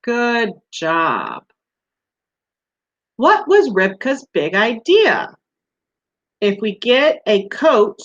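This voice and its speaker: Animated woman teacher quizzing young children. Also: mid-range voice, muffled recording. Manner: simple questions with pauses and encouragement, addressed to small children. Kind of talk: interactive read-aloud quiz for young children.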